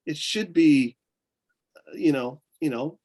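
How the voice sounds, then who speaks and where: A man's voice: on the high side, slow pace, a little mellow. A man from upstate New York with a mixed Southern and Northern US accent, an eager guest who talks in a rambling way with many restarts. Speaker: guest, photographer. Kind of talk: casual interview chat.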